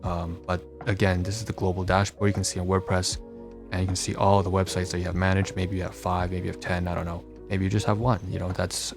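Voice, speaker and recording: A man with a low, gravelly voice talks through an on-screen demonstration in an unscripted way. His delivery stays quite monotone as he wanders between points and corrects himself, with music clearly playing behind him. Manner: rambling, self-correcting. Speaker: podcast host demonstrating his websites. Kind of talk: casual unscripted screen-share walkthrough.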